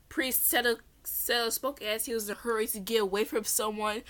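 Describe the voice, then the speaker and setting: A woman with a fairly high, bright and forceful voice reads a story aloud in an amateur read-along style. She trips over words, corrects herself and sounds giggly. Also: steady tone, normal speed, a little hiss or hum. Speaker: amateur storyteller reading fan fiction aloud.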